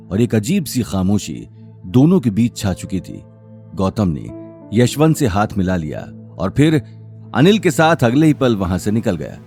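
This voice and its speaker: A man's voice: fairly low pitch, a little mellow. A man narrating an audio drama series as a scripted, dramatic story.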